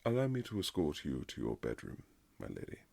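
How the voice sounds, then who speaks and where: Man acting as a very deep, gravelly Regency nobleman. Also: huge pitch swings, heavily acted. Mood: warm, fond. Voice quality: very low, husky, gravelly. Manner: formal old-fashioned phrasing, soft, hesitant pauses. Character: courtly Regency nobleman husband, tender, gently teasing. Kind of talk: romantic boyfriend ASMR roleplay, period drama.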